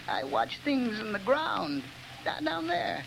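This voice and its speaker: A man's voice: extremely high pitch, sing-song, takes it slowly, vocal fry, background noise, exaggerated voice. An actor playing an unhinged, giggling male killer who stammers and talks in crude grammar, in a 1930s radio drama. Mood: nervous.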